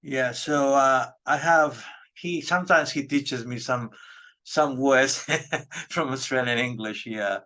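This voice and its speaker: A middle-aged man from Peru whose first language is Spanish leads a casual interview. His voice sits at an ordinary medium pitch, and he talks in a chatty way, stopping often to find his words and explain himself. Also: slow pace, pushed and bright. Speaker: interviewer, English teacher.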